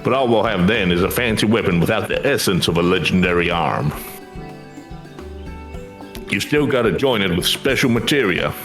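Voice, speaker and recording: Give a gruff fantasy-game smith, acted by a man, pitched well down and blunt. He talks in rough, folksy turns of phrase, teasing as he goes, with music behind him. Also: expressive, normal speed, pauses often, fairly bright tone. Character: gruff, blunt male blacksmith, teasing and foul-mouthed.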